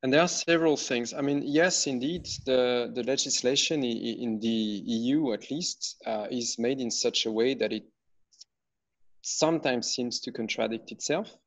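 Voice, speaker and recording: A man giving his thesis defence presentation over a video call, halting with many fillers, his voice going hoarse. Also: mid-range voice. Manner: hesitant, many fillers and restarts. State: losing his voice. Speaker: PhD candidate.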